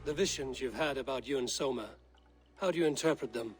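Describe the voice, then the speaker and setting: An actor playing a male Viking hero who questions people calmly and directly about a betrayal. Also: mid-range voice, brisk, soft background music, natural voice. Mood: questioning. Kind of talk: game dialogue.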